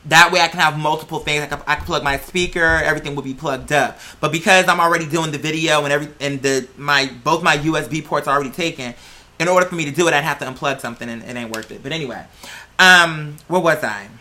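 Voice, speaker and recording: Female reaction vlogger. Bright, punchy voice, blunt and exasperated, casual delivery. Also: low voice, fast. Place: indoors at a computer. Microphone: plug-in computer microphone.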